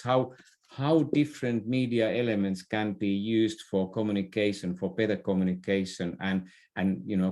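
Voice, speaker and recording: A middle-aged man from Finland with a Finnish accent, speaking as an opinionated guest in a podcast interview, halting and repeating words as he makes his points. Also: fairly low pitch, darkish tone. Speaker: interview guest, author and business thinker.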